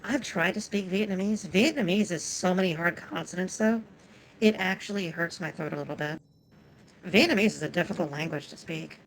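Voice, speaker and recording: A woman's voice: on the low side, a little fast, creaky, slight background noise, rough recording. A woman whose first language is Japanese, chatting casually and profanely with viewers while streaming a game.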